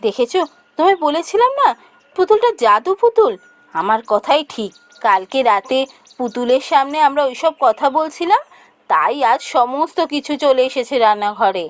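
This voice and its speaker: A woman voicing a practical, fretful village mother in a cartoon, with an exclamatory, expressive delivery. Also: sits high, moderate pace. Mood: earnest. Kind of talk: Bengali fairy-tale cartoon dialogue.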